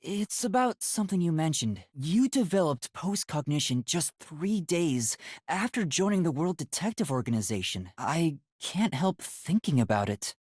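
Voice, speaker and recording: A soft, youthful teenage boy detective from a video game, earnest and polite but unsure of himself. His light voice is slightly husky and swings up and down expressively, often stumbling into hesitations. The sound is close and dry. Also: a little high, sing-song, normal speed, few pauses, no echo. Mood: earnest, hesitant. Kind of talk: English video game voice acting.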